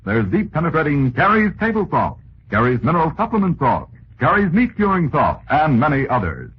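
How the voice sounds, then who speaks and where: A man's voice: on the high side, wildly swooping pitch, a little fast. An American man working as a 1940s radio announcer, reading sponsor copy with polished, folksy salesmanship. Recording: muffled, hissy 1940s radio recording.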